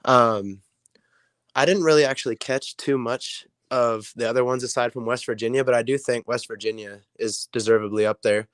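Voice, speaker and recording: A hoarse young man with a raspy voice, a player answering interview questions in a rambling way with many ums. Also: mid-range voice, fairly even intonation, normal speed, no echo, studio quality. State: hoarse from illness. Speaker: player guest.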